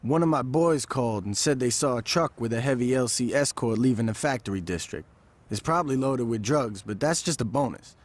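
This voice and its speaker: An actor playing a shrewd, impatient young street gang lieutenant in a video game, slangy and confident, with an American accent. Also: male voice, medium pitch, natural voice.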